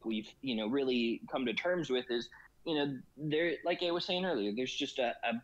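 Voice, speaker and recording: A man's voice: medium pitch, moderate pace, muffled recording. A man chatting casually as a guest about a strategy game, rambling with many fillers and frequent laughs. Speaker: guest in gaming discussion. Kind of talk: casual chat.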